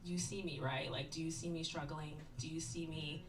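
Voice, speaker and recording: A woman's voice: deep voice, fairly even intonation, normal speed, a little hiss or hum. A woman dancer answering audience questions in a casual, candid, rambling way. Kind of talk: post-show talkback. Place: performance venue. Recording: distant mic, faint ambience.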